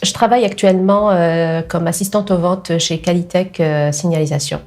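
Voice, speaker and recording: A middle-aged woman with an Algerian accent giving personal testimony in a hesitant way, with frequent pauses. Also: fairly low pitch, steady tone, darkish tone. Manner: hesitant, pausing often. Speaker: documentary interviewee, sales assistant.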